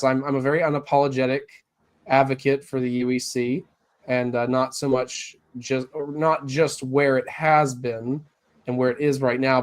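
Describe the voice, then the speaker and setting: Raspy man recovering from bronchitis. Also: mid-range voice. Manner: earnest, many fillers and restarts. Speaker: Anglican priest, guest. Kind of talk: casual interview talk.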